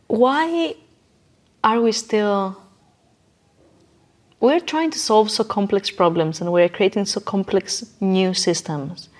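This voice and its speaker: A woman's voice: medium pitch, darkish tone. A woman guest in an interview, explaining her ideas conversationally in emphatic, short declarative phrases and repeating her key points.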